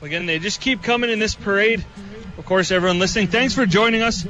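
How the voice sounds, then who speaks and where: A man's voice: high voice, fast, sharp tone. A man with a Canadian accent doing live parade commentary as a radio co-host, with joking, self-deprecating banter. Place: outdoors at a street parade.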